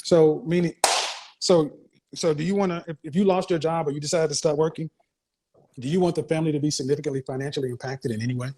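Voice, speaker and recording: A man's voice: fairly high pitch, steady tone, fast talker, dark tone. A man hosting a call-in advice show, questioning a caller bluntly and cutting in often. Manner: blunt, interrupting, interrogating. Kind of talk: call-in interview.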